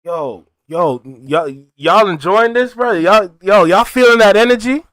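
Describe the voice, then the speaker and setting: A man hosting a casual music reaction video, excitable and talking to his viewers. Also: sits high, measured pace, no echo, clean studio sound. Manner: excitable, hypes and addresses viewers as "y'all".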